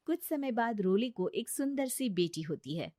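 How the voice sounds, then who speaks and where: A woman's voice: fairly high pitch, big pitch swings, moderate pace, mellow, no room sound, clean studio sound. A woman narrating a scripted family story as storyteller.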